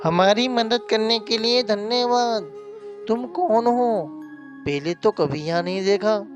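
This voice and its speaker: A man's voice: high-pitched, slow pace. A man voicing a grateful townsman in a fairy tale, explaining things earnestly over music. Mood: grateful, advising. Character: grateful, helpful townsman of a magic city. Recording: music behind the voice.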